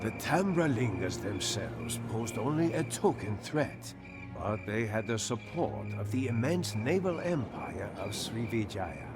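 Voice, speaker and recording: A man narrating a historical strategy game in a measured, theatrical storytelling style. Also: on the low side, very animated. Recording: narration over a music bed. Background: background music.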